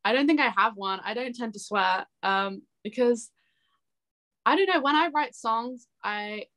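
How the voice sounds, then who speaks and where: A woman's voice: a little high, animated, measured pace, a bit bright. A young woman chatting casually and informally as an interview guest. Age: young adult. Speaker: interview guest, singer-songwriter. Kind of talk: casual chat.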